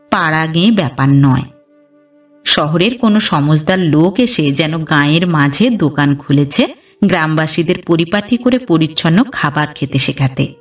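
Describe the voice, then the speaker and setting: A woman reads a literary story as a scripted audiobook narration, in a measured storytelling delivery. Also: lowish voice, far from the mic. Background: background music.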